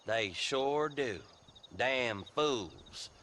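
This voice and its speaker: A cunning frontier land dealer from a western game. His voice is forceful and swings widely in pitch. He wheedles in a self-satisfied folksy drawl. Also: expressive, very slow, a little hiss or hum. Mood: sly, amused. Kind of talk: dramatic video game acting.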